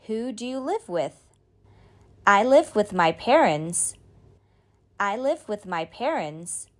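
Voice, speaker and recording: Woman reading practice lines real slow, bouncy up-and-down voice. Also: medium pitch, very animated. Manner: repeats each line slowly and clearly. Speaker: model speaker in a language drill. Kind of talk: slow scripted drill reading.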